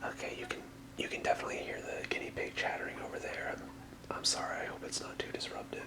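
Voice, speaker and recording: An ASMR creator whispering softly and casually, in a hesitant, apologetic way. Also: fast talker. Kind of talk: whispered ASMR, casual rambling card-deck showcase. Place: apartment room.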